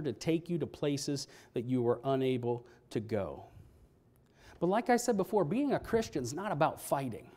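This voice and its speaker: A middle-aged male pastor with a notably high voice, preaching from a church pulpit. He keeps it conversational, putting questions to the congregation as he goes. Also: mellow. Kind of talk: sermon. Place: church sanctuary, standing at a pulpit. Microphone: pulpit microphone, filmed by a fixed camera.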